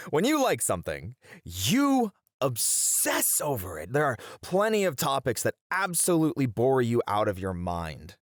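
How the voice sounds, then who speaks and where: A man's voice: medium pitch, huge pitch swings, measured pace, no echo, studio quality. A man acting in an ASMR roleplay as a playful educator with theatrical flair, bantering and flirty in his delivery. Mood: teasing, playful.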